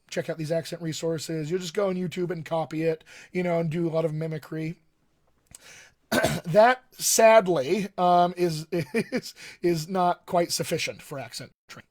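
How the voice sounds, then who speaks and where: A man's voice: a little high, a little mellow. A man in his thirties with a mostly General American accent, raised in Colorado, giving an enthusiastic live-streamed coaching talk from a home study and repeating his key points. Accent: General American with light West Texas and upstate New York features. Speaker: dialect coach. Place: home study with bookshelves, indoors.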